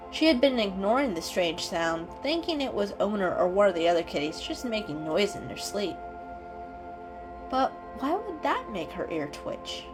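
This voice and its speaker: A woman giving an expressive, dramatic reading of a children's animal story as a storyteller. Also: medium pitch, low-quality recording. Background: background music.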